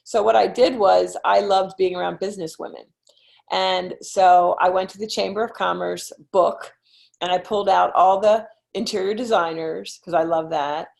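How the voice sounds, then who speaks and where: Middle-aged philly lady coaching on a video call, bit of vocal fry, lots of um and okay. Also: lowish voice, fairly even intonation, normal speed. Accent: Philadelphia. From Philadelphia. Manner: chatty, frequent fillers and "okay?" check-ins. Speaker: real estate coach. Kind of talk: webinar presentation.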